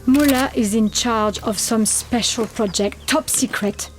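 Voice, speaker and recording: A woman's voice: on the high side, unhurried, soft background music, natural voice. An actress playing a serious female resistance leader who briefs an agent earnestly. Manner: earnest, urgent.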